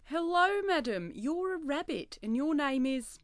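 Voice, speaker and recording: A woman playing a chirpy daytime talk show host in a comedy radio play, brisk and presenterly. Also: high-pitched, big pitch swings, no echo. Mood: upbeat, presenterly.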